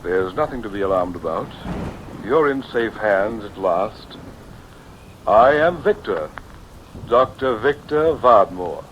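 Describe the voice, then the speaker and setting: An actor playing a sinister, falsely soothing doctor with a theatrical manner in an old-time radio thriller. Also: male voice, fairly low pitch, expressive, slow, pauses often. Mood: grand. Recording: muffled old radio recording, rain noise.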